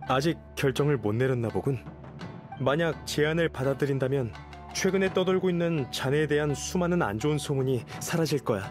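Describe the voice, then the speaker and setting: An actor playing a courteous young noble official in a game, composed and reassuring, speaking in a measured, formal way. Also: male voice, mid-range voice, expressive, lightly acted. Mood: calm, persuasive. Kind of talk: game voice acting. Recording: music behind the voice.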